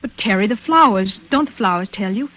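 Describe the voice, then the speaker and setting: A dull old radio recording of a woman acting a bold young sweetheart. She is poised, thoughtful and questioning, with a medium-pitched voice in the manner of 1940s thrillers. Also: expressive, a little fast, lightly acted. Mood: probing, earnest. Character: inquisitive, bold young woman, a detective's well-bred sweetheart.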